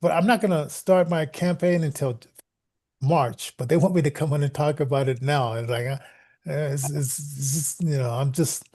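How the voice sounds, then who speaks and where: A man's voice: a little high, fairly even intonation, normal speed, dark tone. A man hosting a casual, unscripted show, talking in an informal, rambling way. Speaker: podcast host.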